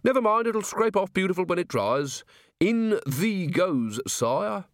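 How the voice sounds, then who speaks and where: High, cackling old yard keeper. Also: male voice, big pitch swings, measured pace, dry recording, clean studio sound, heavily acted. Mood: cheerfully folksy. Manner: folksy, rambling, archaic dialect. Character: cackling old rustic male yard keeper, chatty, grumbling.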